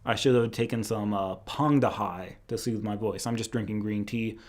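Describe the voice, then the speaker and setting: A man's voice: lowish voice, fairly even intonation, normal speed, a little mellow. A young man teaching Chinese medicine in a casual live-stream review, rambling a bit with restarts, his voice tired after two hours of lecturing.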